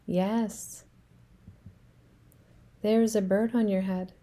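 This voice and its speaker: A woman voicing a calm, matter-of-fact female pig in a children's storybook read-aloud, answering patiently. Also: mid-range voice, slow pace, close to an everyday voice.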